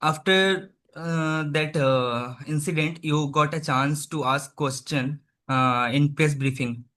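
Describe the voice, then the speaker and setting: A man's voice: fairly high pitch, slow, sharp tone. A man hosting a video call interview, asking questions hesitantly with many uhs and simple phrasing. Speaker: interviewer host.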